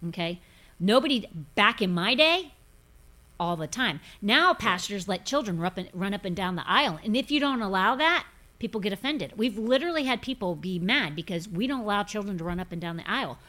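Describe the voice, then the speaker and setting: A woman in her fifties speaks with a forthright, preachy conviction as she hosts a relaxed podcast chat. Her delivery is emphatic, with repeated words and firm pronouncements. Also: mid-range voice, brisk. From grew up in Pentecostal churches. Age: 50s. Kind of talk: casual podcast chat on faith.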